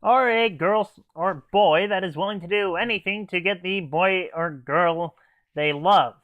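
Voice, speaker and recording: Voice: mid-range voice, unhurried, no echo, phone-quality sound. A reviewer hosting a scripted comic review, playing the exasperated straight man. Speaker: host, anime reviewer.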